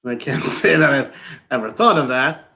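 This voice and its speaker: A man playing a frustrated, incredulous novice farmer in a live stage play reading, with halting, colloquial delivery. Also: mid-range voice, animated, creaky, sharp tone. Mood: earnest, frustrated.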